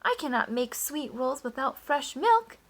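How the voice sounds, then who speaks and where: A woman's voice: high-pitched, big pitch swings, measured pace, pitched above the performer's own voice, exaggerated voice. A woman reading a storybook aloud, voicing a distraught male baker who anxiously begs for another chance. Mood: worried. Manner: anxious and begging. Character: distraught male village baker, humble and pleading.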